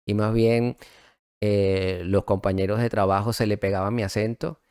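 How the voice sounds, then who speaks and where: A middle-aged man with a Venezuelan accent, telling his story as a guest in a casual interview, relaxed and reflective, with many fillers and restarts. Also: on the low side, normal speed, clean tone, no room sound, clean studio sound.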